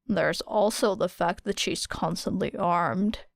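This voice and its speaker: A woman voicing a dry, teasing, observant teenage boy with an unhurried, muttering manner in an audiobook. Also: on the low side, gravelly, no room sound. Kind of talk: audiobook character voice.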